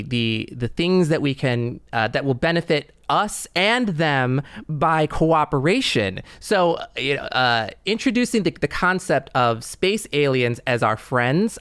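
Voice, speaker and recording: A man co-hosts a podcast with an effusive, chatty delivery. His voice is smooth and clear at a middling pitch, captured dry and close. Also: a bit bright, no echo. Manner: enthusiastic, effusive. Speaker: podcast co-host. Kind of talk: casual podcast conversation.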